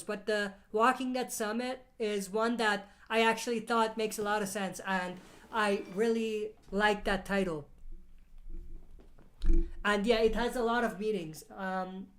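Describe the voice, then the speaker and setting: A woman hosting a casual live Q&A stream, reading out viewer questions and answering them, her voice a little off from a cold. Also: medium pitch. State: has a cold. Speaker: livestream host.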